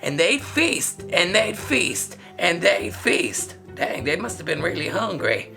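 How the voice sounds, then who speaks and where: A woman's voice: lowish voice, very animated, slow pace, vocal fry, sharp tone, faint music behind. A woman reading a children's story aloud in a theatrical, playful way, with comic asides. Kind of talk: animated read-aloud of a children's picture book.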